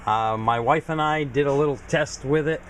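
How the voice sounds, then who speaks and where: A man's voice: fairly high pitch, normal speed, a little hiss or hum. A man giving a casual, informal equipment walkthrough as a hobbyist video host, explaining things plainly. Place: home garage.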